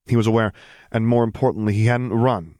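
A man's voice: lowish voice, steady tone, brisk, darkish tone, dry recording, studio quality. A man reading scripted fiction as an audiobook narrator.

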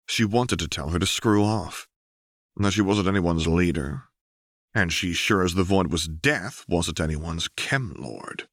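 A man's voice: low-pitched, no echo, studio quality. A man reading scripted fiction aloud as an audiobook narrator.

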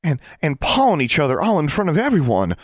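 A man voicing a shy, proper young girl with a slow Ozark drawl. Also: on the high side, wildly swooping pitch, dry recording, clean studio sound, voice pushed up. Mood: flustered. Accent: Ozark drawl put on.

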